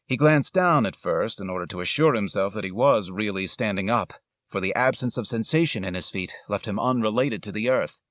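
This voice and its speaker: A man reading a literary story as an audiobook narrator, with measured, dramatic, deliberate phrasing. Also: on the low side, a bit bright, no echo.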